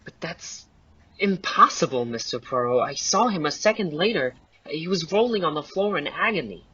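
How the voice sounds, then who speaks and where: A man's voice: a little high, lively intonation, normal speed, sharp tone, breathy edge, recorded from a distance, heavily acted. A man playing an astonished, earnest witness in a murder-mystery audio play. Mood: disbelieving. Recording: muffled, dull lo-fi recording.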